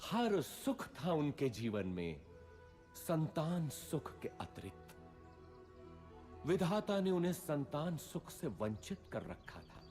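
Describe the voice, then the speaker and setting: A male narrator tells a mythological story in formal, stately fashion. His fairly high voice is slow and varies widely in pitch, with music playing behind. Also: big pitch swings, a little mellow.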